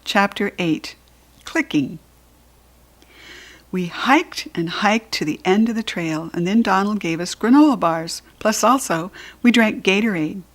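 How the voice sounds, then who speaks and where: A woman with an American accent narrating a children's storybook expressively, with animated, dramatic emphasis. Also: medium pitch, measured pace. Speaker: storybook reader.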